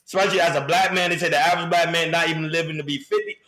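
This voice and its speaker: A man's voice: a little high, steady tone, very fast, pushed and bright. Blunt man in his thirties, roomy echo. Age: 30s. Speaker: podcast co-host. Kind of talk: opinionated casual panel talk.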